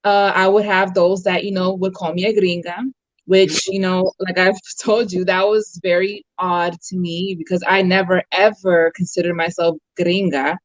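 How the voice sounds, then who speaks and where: A woman's voice: lowish voice, level tone, normal speed, no echo, highs cut off. A young adult woman from the U.S. talking casually as a guest on a video call, thoughtful and full of fillers. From United States. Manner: reflective, many fillers and restarts. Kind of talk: casual conversation.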